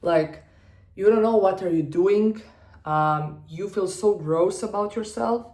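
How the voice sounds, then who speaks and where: A woman in her twenties from Serbia with a Serbian accent, speaking English as a second language in an earnest personal vlog, often pausing to find her words. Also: medium pitch, darkish tone. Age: 20s. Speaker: vlogger. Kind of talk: personal talk to camera.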